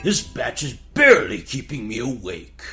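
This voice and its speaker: A man's voice: medium pitch, huge pitch swings, moderate pace, music in the background. A man playing a shallow, hard-headed, blustery king and father in a comic audio fairy tale. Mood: distracted, placating.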